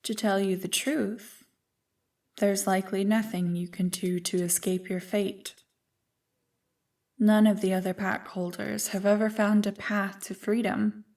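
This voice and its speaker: A woman voicing an ancient, evil primordial being in an ASMR roleplay, smug and tempting, with a deliberate, taunting delivery. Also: mid-range voice, level tone, a little mellow, far from the mic, natural voice. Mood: indifferent, smug. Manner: slow, deliberate, taunting, coolly amused.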